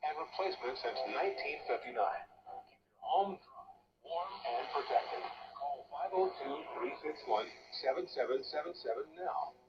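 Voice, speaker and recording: A man's voice: fairly high pitch, very animated, normal speed, gravelly, pauses often, distant mic. A man reading a commercial as an announcer, heard through a radio. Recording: dull, noisy radio playback, off-mic.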